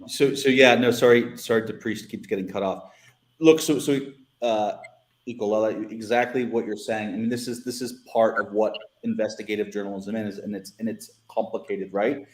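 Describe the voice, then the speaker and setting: A man's voice: lowish voice, steady tone, moderate pace, echoey room. A man with an American accent, a guest who was once a newspaper editor, talking casually and restarting his sentences often. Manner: rambling, repeats words, many restarts. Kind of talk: casual panel discussion.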